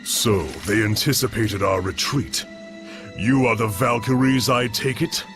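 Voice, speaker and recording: A man's voice: medium pitch, steady tone, a little slow, lightly acted. A man playing a cool, commanding military general in a video game. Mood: cool menace. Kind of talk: dramatic video game voice acting. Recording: music under the voice.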